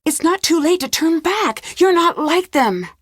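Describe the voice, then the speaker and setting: A woman's voice: extremely high pitch, very animated, a little slow, no room sound, studio quality, full character voice. A woman voicing an earnest, alarmed young detective held captive in a video game. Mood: alarmed, pleading.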